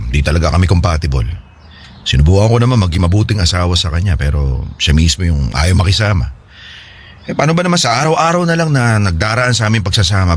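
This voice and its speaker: A man's voice: deep voice, slight background noise. A male actor playing a kindhearted, apologetic man with a wounded air in a radio drama.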